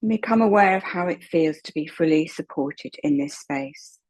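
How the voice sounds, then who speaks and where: A woman leading a slow guided meditation in a soft, breathy voice, calm and unhurried, with long pauses. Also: medium pitch. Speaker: guided relaxation meditation instructor.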